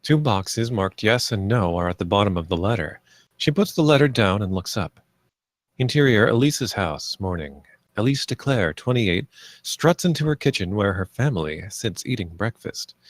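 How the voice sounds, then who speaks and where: Guy w a low-ish voice reading script stage directions, plain n measured, dry sound. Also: animated, no echo. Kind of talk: scripted narration at a screenplay table read.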